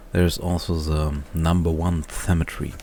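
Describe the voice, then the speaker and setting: Deep male voice, slow delivery, casual reviewer, halting and unscripted. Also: gravelly, slight background noise. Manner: halting, searching for words, often chuckling to himself. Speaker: reviewer, video host. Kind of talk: casual off-the-cuff book review.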